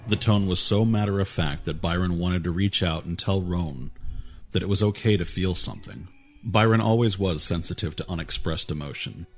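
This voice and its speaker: A man reading a fantasy story aloud in a calm, even way, with a husky voice. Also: deep voice, normal speed, soft background music. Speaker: narrator. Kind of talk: storybook narration.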